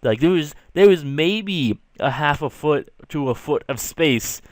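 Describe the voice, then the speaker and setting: A man's voice: fairly high pitch, animated, unhurried, no echo. A young man telling a casual, slangy story with exasperated asides. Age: young adult. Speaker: storytelling video creator. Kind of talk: casual storytelling over rough drawings.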